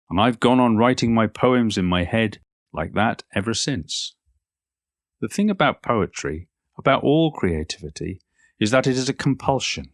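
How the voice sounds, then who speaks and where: A man playing a reflective, modest older Cornish poet in an audio play monologue, reminiscing in a measured, literary and musing way. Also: fairly low pitch, no room sound, clean studio sound, close to an everyday voice.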